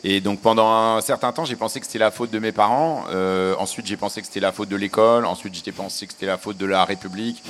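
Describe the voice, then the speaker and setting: A man's voice: lowish voice, fairly even intonation, quick pace, few pauses, a bit bright, in a large hall. A middle-aged man, a journalist and author, answering interview questions in a reflective, self-deprecating way.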